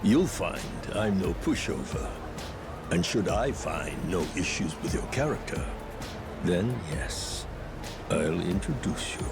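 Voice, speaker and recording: An old martial artist turned lawyer in a video game, polite and sure of himself. His low voice rises and falls freely through formal, ornate phrasing. Music is clearly heard behind him. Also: very animated, takes it slowly, heavily acted. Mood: confident.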